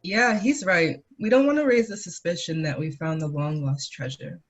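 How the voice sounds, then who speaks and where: A man playing a high school student lookout in an amateur script table read. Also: normal speed, natural voice. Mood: keen. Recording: clearly noisy mic with clicks.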